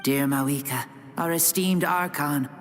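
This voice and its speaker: A woman playing a serene, dignified former ruler in a video game, speaking in a formal, measured, kindly way. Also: low voice, dry recording, studio quality, soft background music. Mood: tender, earnest.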